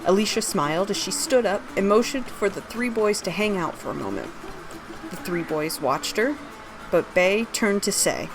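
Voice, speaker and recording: A woman's voice: mid-range voice, expressive, moderate pace, over music, poor audio. A woman reading her own fantasy story aloud, narrating scripted prose and its dialogue.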